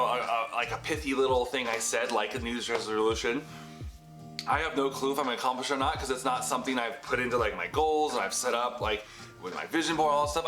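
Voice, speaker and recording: A bright, forceful male voice in his thirties, talking straight to the camera in a rambling, self-correcting way. The room rings with noticeable echo, and a little music sits faintly underneath. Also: mid-range voice. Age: 30s. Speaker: business vlogger. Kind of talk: casual talking-head vlog. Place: home office room. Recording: echoey room with light music. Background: heater humming.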